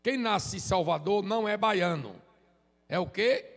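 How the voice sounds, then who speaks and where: A man, preaching a relaxed, joking sermon and prompting the congregation to answer. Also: high-pitched, a little slow, vocal fry, big-room sound. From Sergipe, Brazil. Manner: joking, chatty, drops "amém?" tags. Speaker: visiting preacher. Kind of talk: informal sermon with crowd call-and-response. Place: church sanctuary.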